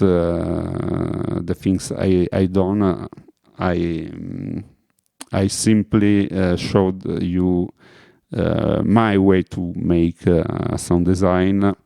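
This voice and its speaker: A man's voice: low-pitched, gravelly, warm tone, no room sound, clean studio sound. A man with an Italian accent explaining music production in a hesitant way, stopping often with fillers as he searches for English words. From Italy. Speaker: music tutorial host. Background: electronic music playing.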